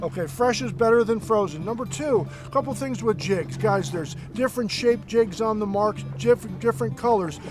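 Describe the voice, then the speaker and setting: A man acting as a fishing guide on a boat, chatty and energetic, explaining tips step by step. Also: high voice, normal speed, few pauses, over music, poor audio. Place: on a boat offshore, outdoors.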